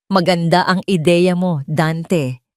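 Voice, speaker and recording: A woman voicing a stern, dignified old male tycoon with a patronizing, measured manner in an audio drama. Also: lowish voice, fairly even intonation, a little mellow, no room sound, clean studio sound. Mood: stern, dismissive.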